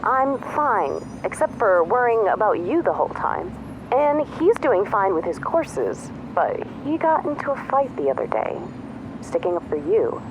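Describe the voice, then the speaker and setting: A caring, sardonic young woman, played by an actress in an audio drama. Her delivery is expressive, with lively swings in pitch. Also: a little slow, noisy background. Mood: worried, loving.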